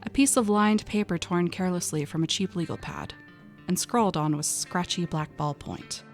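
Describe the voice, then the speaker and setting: A woman performing dramatic first-person narration in an audio drama, expressive and breathy at tense moments, as a frightened young woman telling an eerie story. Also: lowish voice, normal speed, soft background music. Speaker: voice actor narrating a short story.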